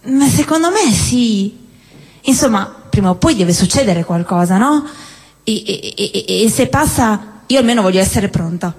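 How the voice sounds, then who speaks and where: A woman acting a wry, teasing, restless sweetheart in halting theatrical dialogue, repeating herself as she goes. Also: medium pitch, expressive, a little mellow, slightly rough. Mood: wry, hesitant. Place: theatre hall, live show. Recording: echoey hall, live stage recording.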